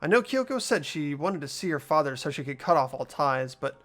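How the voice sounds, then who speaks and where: A man voicing an earnest, easily startled teenage schoolboy in an amateur game dub, stammering and expressive. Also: fairly high pitch, fairly quick. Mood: pensive. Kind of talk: amateur voice acting in a visual novel playthrough.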